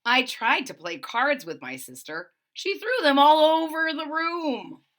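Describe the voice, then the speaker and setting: Lady doing a kids storybook read-aloud, bright voice, bouncy and lively, chats between pages. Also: high voice, measured pace. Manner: expressive, chatty asides between pages. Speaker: storybook reader. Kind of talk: reading a picture book aloud to children.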